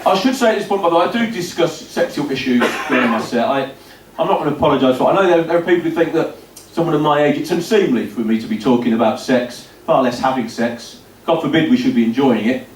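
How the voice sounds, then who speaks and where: A man's voice: medium pitch, fast talker, few pauses, a little hiss or hum. A man doing a stand-up comedy set in a club, telling dry, self-deprecating stories with punchy asides. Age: 50s. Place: comedy club stage before a laughing audience. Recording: roomy live venue recording from a distance.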